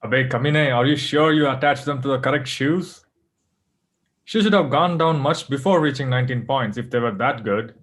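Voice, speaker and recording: A man's voice: medium pitch, moderate pace, fairly bright tone, lightly acted. Man reading a menacing, impatient schemer. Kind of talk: table read of a play script.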